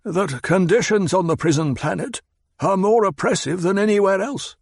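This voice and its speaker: A man's voice: high-pitched, normal speed, creaky, breathy edge, dry recording, studio quality, voice pushed up, exaggerated voice. A man doing a scornful old mentat. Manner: clipped, cutting, sardonic. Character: cold, scornful old male mentat advisor.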